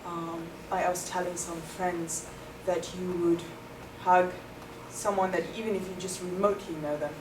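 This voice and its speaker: A young South African woman whose first language is Afrikaans answers interview questions at length. She explains things carefully, with plenty of ums. Her voice sits a little low and is picked up from a distance in an echoey room with steady noise behind it. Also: normal speed, hardly any pauses. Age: young adult. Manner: thoughtful, explanatory, with many ums. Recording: echoey room, far from the mic, noisy.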